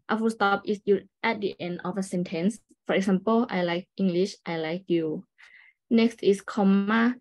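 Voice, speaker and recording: A young woman presents as a student, reading from a script for the class. Her voice is mellow and sits at an average pitch. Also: poor audio. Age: young adult.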